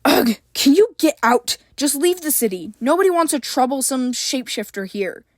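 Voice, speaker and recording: A woman's voice: fairly high pitch, moderate pace, no room sound, clean studio sound, natural voice. A woman voicing a fierce, protective mother who snaps and growls her lines. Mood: angry. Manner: sharp, snapping, loud.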